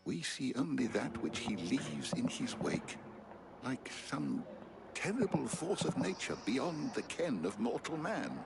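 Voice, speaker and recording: A man's voice: mid-range voice, big pitch swings, unhurried, gravelly, background noise. An actor playing a learned, courtly male scholar who speaks in formal, archaic phrases. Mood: grave.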